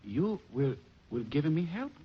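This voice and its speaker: An actor playing an earnest, polite young Italian immigrant worker who speaks haltingly in an Italian accent, in 1940s radio acting. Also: male voice, a little high, very animated, slightly rough, full character voice. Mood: humble. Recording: muffled old radio recording.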